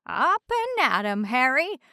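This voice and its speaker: A woman voicing a bossy, watchful female lighthouse in a children's storybook, loud, urgent and commanding. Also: high-pitched, big pitch swings, takes it slowly, no room sound, clean studio sound, voice pushed up, full character voice. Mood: urgent, rousing.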